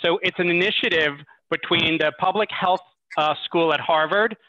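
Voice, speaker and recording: A man's voice: on the high side, normal speed, sharp tone, dry recording. A man hosting an online charity event, making announcements to the audience over a video call. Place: indoor room, on a group video call. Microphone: video-call microphone. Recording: dull, muffled video-call audio.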